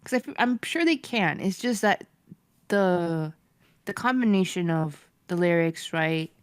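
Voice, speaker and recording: A woman's voice: on the low side, lively intonation, unhurried, clean tone, no room sound, studio quality. A woman co-hosting a podcast in casual chat, thoughtful and hedging her points. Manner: thoughtful, hedging, laughs often. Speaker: podcast co-host.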